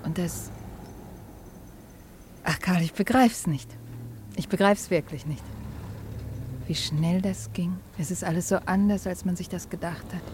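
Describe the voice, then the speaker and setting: Low, wistful young bride played by an actress. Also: female voice, a little slow, long pauses, darkish tone, slight background noise, close to an everyday voice. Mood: dazed, wistful. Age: young adult. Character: tender, anxious young bride, dutiful daughter. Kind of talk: serious German radio drama acting.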